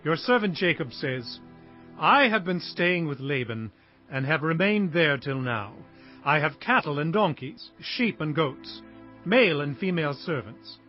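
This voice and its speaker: A man's voice: on the high side, expressive, measured pace. An actor playing an emphatic, hardworking herdsman patriarch in a dramatized audio Bible, declaiming with passion. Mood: formal, humble. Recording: dull lo-fi audio with music behind.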